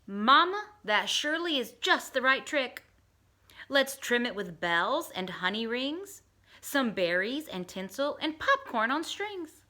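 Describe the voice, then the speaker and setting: A middle-aged woman reads a rhyming children's book aloud at an unhurried pace. Her voice is bright and a little high, and it swoops up and down in a sing-song way as she changes voices for the characters. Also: very animated. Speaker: teacher reading a picture book aloud. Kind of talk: storybook read-aloud for children.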